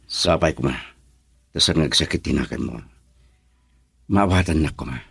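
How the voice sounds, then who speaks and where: An actor playing a reluctant, brooding young husband in an Ilocano radio drama, with a Filipino accent. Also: male voice, very deep voice, moderate pace, creaky, a bit bright, breathy edge, dry recording, clean studio sound, heavily acted. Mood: stubborn.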